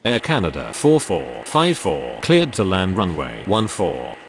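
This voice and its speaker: A man's voice: sits low, huge pitch swings, a little slow, gravelly, full character voice. A man voicing pilots and air traffic controllers in formal, clipped, scripted radio phraseology. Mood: businesslike. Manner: formal, clipped procedural delivery.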